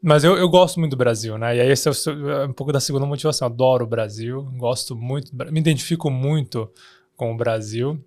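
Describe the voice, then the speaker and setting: This man is from Taiwan but speaks with an Araraquara Brazilian Portuguese accent, at a mid-range pitch for a man. He talks as an interview guest, relaxed and conversational, often ending sentences with "né" and restarting phrases. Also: fast talker. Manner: tags sentences with "né", frequent restarts. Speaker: guest, startup founder. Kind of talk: casual interview chat.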